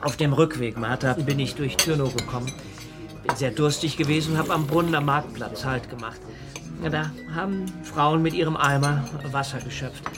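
Thin, wistful traveller for radio play male actor, slightly high voice, halting storyteller, music underneath. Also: close to an everyday voice. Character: Wolfgang, well-travelled thin wandering music lover, wistful. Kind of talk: German radio drama acting.

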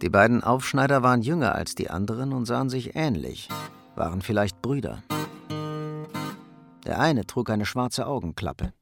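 An actor narrating a hard-boiled crime radio play in a sober, scripted storytelling style. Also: male voice, medium pitch, lively intonation, normal speed, gravelly, over music. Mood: sober, suspenseful.

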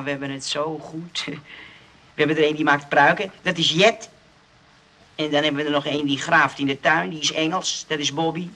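A man playing an eager, naive and excitable boy in a Dutch children's radio play. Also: on the high side.

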